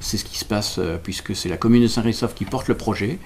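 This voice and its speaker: A man from France giving explanatory interview answers as a local representative, halting and correcting himself as he searches for words. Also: on the low side, a little mellow, a little hiss or hum. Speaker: local representative explaining a village school project.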